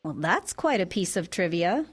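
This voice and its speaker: A woman's voice: lowish voice, very animated, normal speed, slightly rough. An actress playing a flustered, earnest, suspicious middle-aged mother turned amateur sleuth in an audio drama, hesitant in her natural dialogue. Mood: guarded, flustered.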